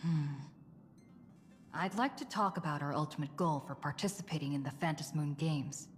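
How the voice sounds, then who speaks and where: A woman's voice: sits low, normal speed, close to an everyday voice. An actress playing a cool, level-headed woman traveler in a video game, reserved and matter-of-fact. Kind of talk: scripted game character dialogue. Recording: long reverb, faint music behind.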